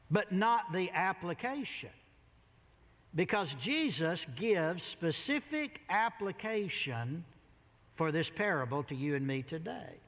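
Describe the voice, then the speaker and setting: High-voiced preacher man in a reverberant hall. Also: a bit bright. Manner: emphatic, theatrical, repeats phrases for effect. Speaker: pastor preaching a sermon. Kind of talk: dramatic storytelling sermon. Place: large church sanctuary before a congregation.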